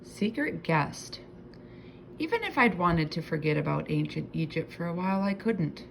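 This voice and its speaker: Lower-pitched, creaky woman reading a storybook. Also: very animated, normal speed, a little hiss or hum. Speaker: storybook reader. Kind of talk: expressive scripted children's read-aloud narration.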